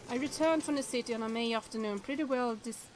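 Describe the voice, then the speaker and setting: A woman's voice: on the high side, fast talker, a little hiss or hum. Woman streamer reading a game aloud. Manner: stumbles over words, chatty asides to viewers.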